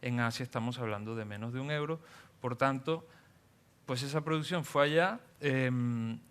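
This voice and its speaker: A man, speaking as a guest on a panel and explaining at a measured pace with many fillers. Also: medium pitch, a bit bright, clean tone. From Venezuela. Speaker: panel guest, engineer and entrepreneur. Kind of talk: panel talk.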